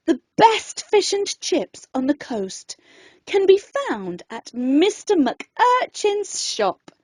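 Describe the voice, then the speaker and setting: A woman narrating a rhyming children's story in an expressive, theatrical, deliberate manner. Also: very high voice, dry recording. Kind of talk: expressive scripted bedtime story narration in verse.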